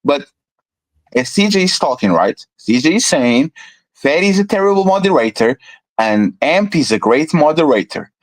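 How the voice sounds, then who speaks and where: A man's voice: a little high, sing-song, normal speed, rough recording. A man hosting a podcast debate, pressing his guest with repeated questions and talking over him. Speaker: podcast host. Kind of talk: heated debate.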